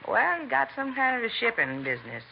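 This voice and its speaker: An obliging American housewife in an old radio crime play. She rambles in a folksy, hesitant way, with a gravelly creak. The sound is dull, with faint noise behind it. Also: fairly high pitch, wildly swooping pitch, brisk, heavily acted. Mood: chatty, helpful. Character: chatty, obliging woman neighbour, a housewife. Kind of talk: 1950s radio drama acting.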